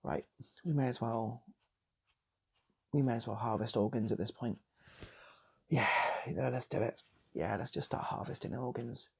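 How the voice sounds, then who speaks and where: A man's voice: mid-range voice, normal speed, vocal fry, long pauses, slightly rough. A man telling an improvised comic story in a loose, rambling way, repeating phrases as he goes. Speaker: storyteller and channel host.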